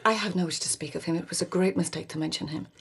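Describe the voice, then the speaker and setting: A woman acting a proud, sharp-witted court lady in a radio drama, speaking with crisp, sceptical irony. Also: on the low side, fast, heavily acted. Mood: indignant, wry.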